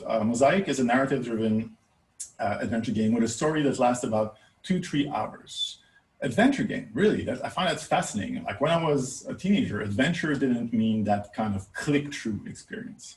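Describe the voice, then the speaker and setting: A man's voice: medium pitch, a little mellow. Echoey, distant-miked man giving a talk. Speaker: academic researcher presenting a paper. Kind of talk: conference talk. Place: indoor room, recorded for a virtual conference. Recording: roomy echo, distant microphone.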